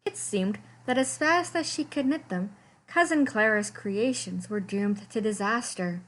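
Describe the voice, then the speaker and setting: A woman narrates a children's picture book at a mid-range pitch. She reads in an animated storytime style, leaning on the descriptive words for drama. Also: unhurried. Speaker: librarian reading storytime. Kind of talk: expressive picture-book read-aloud.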